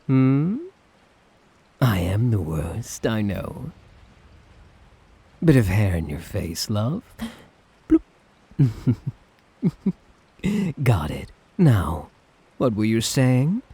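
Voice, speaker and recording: Husky, slow-talking suave tyrant, acted by a man. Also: fairly low pitch, sing-song, warm tone, full character voice. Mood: playful, doting. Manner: teasing, theatrical, condescendingly affectionate. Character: suave, sadistic, doting male tyrant, obsessively in love. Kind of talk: ASMR roleplay acting.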